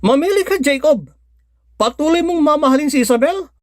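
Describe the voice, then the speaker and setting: A man's voice: very high voice, lively intonation, a little fast, no room sound, clean studio sound. A man with a Filipino accent acting a possessive, demanding young twin brother in a melodramatic Tagalog radio drama. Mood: insistent, desperate. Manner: insistent, melodramatic.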